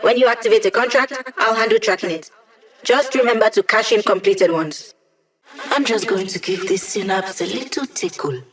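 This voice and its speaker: A woman's voice: medium pitch, moderate pace, close to an everyday voice. Wry young hacker woman, acted for a game. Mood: focused, urgent. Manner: wry, quippy, conversational. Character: wry young female hacker living as a digital mind. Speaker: mission-support tech character in a video game. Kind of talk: video game voice lines.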